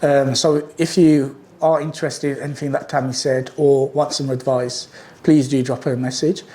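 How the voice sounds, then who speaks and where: Podcast host guy, mellow voice, upbeat, keeps saying fantastic. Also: mid-range voice, level tone, normal speed. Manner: upbeat, repeats okay fantastic. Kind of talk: interview questions.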